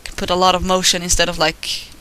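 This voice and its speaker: A man's voice: sits high, completely flat delivery, quick pace, bright, forceful tone, slight background noise, low-quality recording. A man with a Swedish accent talks casually while he draws, rambling, self-deprecating and swearing now and then. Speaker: digital artist narrating his drawing. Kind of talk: casual commentary over a drawing. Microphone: voice-over recorded while drawing.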